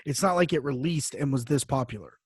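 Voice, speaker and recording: A man's voice: medium pitch, brisk, a little mellow, slightly rough, no echo, clean studio sound. A man co-hosting a podcast in casual chat, reacting sarcastically and repeating phrases for emphasis. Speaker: podcast co-host.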